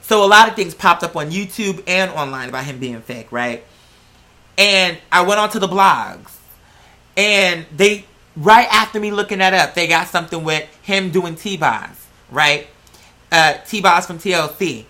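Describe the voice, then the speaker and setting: Female reaction vlogger. Bright, punchy voice, blunt and exasperated, casual delivery. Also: on the low side, expressive, unhurried. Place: indoors at a computer. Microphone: plug-in computer microphone.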